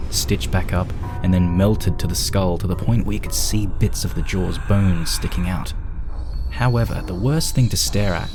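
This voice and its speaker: A man reading a scripted horror story as its narrator, in a dramatic storytelling style. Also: low voice.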